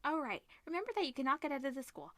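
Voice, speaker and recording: A woman's voice: sits high, very animated, rapid-fire, fairly bright tone, no room sound, voice pushed up. A woman reading the part of a stern homeroom teacher in a visual novel, giving grave instructions. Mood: stern, instructing.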